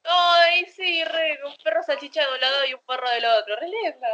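A woman's voice: sits high, moderate pace, pushed and bright, lo-fi sound. A woman with a Rioplatense Spanish accent, co-hosting a podcast and teasing with giggles. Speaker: podcast co-host. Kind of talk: casual comedic podcast chat.

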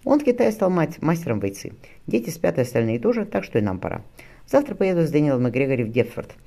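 A man's voice: fairly high pitch, very animated, fast talker, vocal fry, dark tone, voice pushed up, exaggerated voice. A man voicing a good-humoured, reassuring admiral and fond husband in a historical novel, with an easygoing manner. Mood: teasing, fond.

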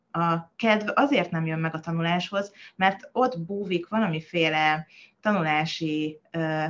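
A woman child psychologist explaining in a conversational podcast, pausing often with fillers and restarts. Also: on the low side, dull audio. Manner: thoughtful, many hesitations and restarts.

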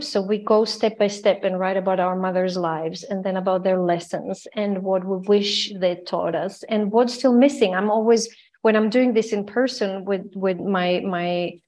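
A woman's voice: mid-range voice, a little mellow. Earnest woman from Croatia telling stories. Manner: earnest, reflective, with frequent restarts. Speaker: podcast guest, journalist and author. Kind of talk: conversational interview storytelling. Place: home in Vienna, remote call.

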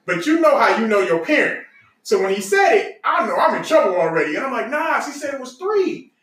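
A man's voice: very high-pitched, big pitch swings, a little fast, fairly bright tone. An adult man casually telling family stories, candid and repeating himself as he goes. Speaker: podcast host. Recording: roomy echo, slightly distant mic.

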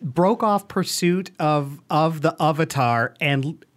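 A man's voice: fairly high pitch, slow pace. Sarcastic ranting man. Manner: sarcastic, ranting, animated jokes. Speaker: podcast co-host. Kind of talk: casual movie chat.

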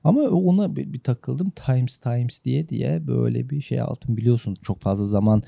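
A man's voice: mid-range voice, normal speed, creaky, warm tone, dry recording. A man hosting a chatty podcast, speaking in playful, tongue-in-cheek and elaborately formal phrases. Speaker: podcast host. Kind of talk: playful banter with a mock-formal radio introduction.

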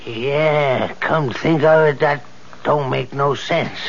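A man's voice: mid-range voice, slow. An actor playing a flustered, plain-spoken, stammering handyman in a 1970s radio drama. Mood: bewildered, alarmed. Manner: colloquial, stammering. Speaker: handyman character. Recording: muffled, noisy old radio drama broadcast.

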